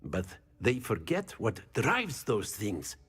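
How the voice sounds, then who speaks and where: A cheerful magic-school professor in a video game, playful and chatty. His pitch swings in a lively way, and the voice has a slightly husky grain. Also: takes it slowly, faint music behind, exaggerated voice. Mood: upbeat, musing. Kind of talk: scripted game dialogue.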